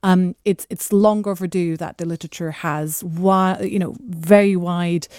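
A woman whose first language is Portuguese, a studio guest giving thoughtful, animated commentary with frequent restarts. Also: on the low side, unhurried, no echo. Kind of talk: live discussion.

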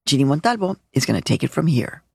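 A man hosting a radio program, introducing the next segment in a measured, scripted way. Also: medium pitch, big pitch swings, vocal fry, husky. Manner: measured, addresses the listener directly. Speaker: show host.

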